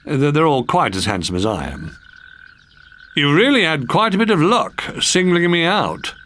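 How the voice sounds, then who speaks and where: A man's voice: a little high, very animated, normal speed, fairly bright tone, pitched above the performer's own voice. A man voicing a conceited, jaunty frog prince with a posh, boastful manner for a storybook. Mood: smug.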